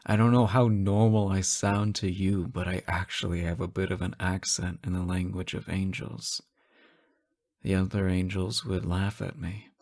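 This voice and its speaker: A man playing an anxious, devoted fallen angel in an emotional audio roleplay, speaking haltingly and pleadingly to the listener. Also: low voice, steady tone, creaky, a little mellow. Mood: hurt, subdued.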